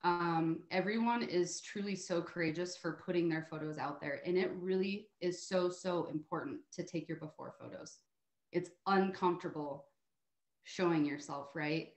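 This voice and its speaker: Female guest speaker, American, lowish pitch, encouraging testimonial style, roomy call sound. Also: normal speed. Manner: encouraging, emphatic, often adds "right?". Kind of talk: motivational testimonial, conversational. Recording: roomy, echoey call audio.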